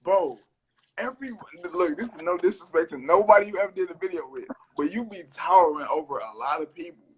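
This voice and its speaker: A man hosting an interview, asking questions in a quick, joking, teasing way. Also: high-pitched, animated, poor audio. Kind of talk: casual interview chat.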